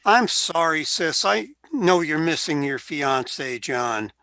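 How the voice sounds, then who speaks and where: Man acting wisecracking 1920s big brother, radio drama, slightly tipsy, animated pitch, gravelly creak. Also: slow, dry recording, heavily acted. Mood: consoling. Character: joking, tipsy 1920 older brother, flippant but caring.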